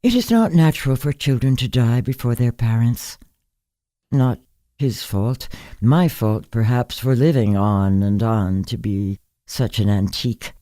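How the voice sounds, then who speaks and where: Man reading as slow, wry, very old woman. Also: mid-range voice, animated, no room sound, studio quality, natural voice. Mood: wry, reflective. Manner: musing, candid, gently superior. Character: very old bedridden woman, frank, musing, gently wry. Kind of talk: literary story character reading.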